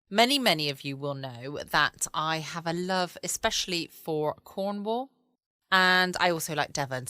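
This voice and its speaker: Chatty English woman, bright voice. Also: on the low side, clean tone, dry recording, studio quality. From England. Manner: chatty, friendly rambling. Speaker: podcast host. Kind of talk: casual chat. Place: home.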